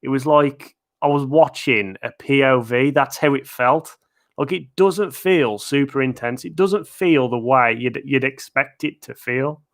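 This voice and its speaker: A man's voice: medium pitch, measured pace. A man hosting a live-stream Q&A about theme parks, chatty and rambling with lots of fillers and chuckles, his voice getting worn. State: losing his voice. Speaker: theme park video creator. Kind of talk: live-stream Q&A, casual chat. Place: at home, in his living room.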